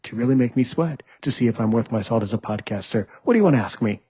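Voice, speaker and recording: A man's voice: mid-range voice, expressive, quick pace, mellow, breathy edge. A man hosting a podcast interview over a video call, joking and teasing his guest, with lots of restarts and "you know". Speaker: podcast host.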